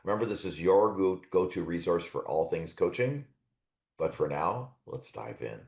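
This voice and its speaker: Low-voiced male podcast host, cheerful interviewer, roomy sound. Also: expressive, moderate pace, vocal fry, a little mellow. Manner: upbeat, laughs often. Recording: roomy echo.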